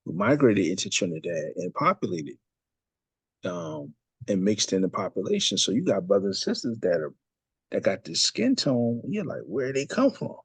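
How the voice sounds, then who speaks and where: A man's voice: lowish voice, sing-song, normal speed, vocal fry, slightly rough, dry recording. A man teaching a religious study session in a loose, conversational way, full of fillers and asides. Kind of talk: casual religious study talk.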